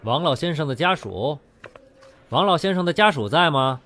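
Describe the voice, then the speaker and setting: A man's voice: a little high, animated, moderate pace, fairly bright tone, a little hiss or hum. A man playing a courteous, reassuring surgeon in a radio drama. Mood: calling out.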